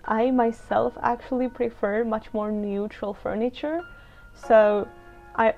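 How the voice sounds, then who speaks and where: A woman's voice: medium pitch, creaky. A woman from the Czech Republic with a Czech accent gives a casual, chatty, unscripted voiceover as a video creator, explaining her choices as she goes. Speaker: video creator narrating a game build. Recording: dull lo-fi voiceover with music. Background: background music.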